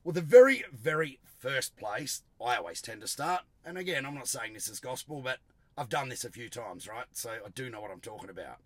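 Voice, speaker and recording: A middle-aged Australian man hosting a car tuning podcast in a blunt, emphatic, casual way, his voice gravelly from a sore throat. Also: medium pitch, expressive, fairly quick, no echo. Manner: blunt, emphatic, salty-tongued. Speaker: host of an automotive tuning show. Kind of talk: casual solo podcast talk.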